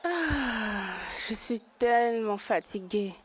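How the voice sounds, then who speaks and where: A woman's voice: fairly high pitch, expressive, slow. A woman voicing a scornful, know-it-all female animal in a cartoon, dismissive in manner. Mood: smug, weary.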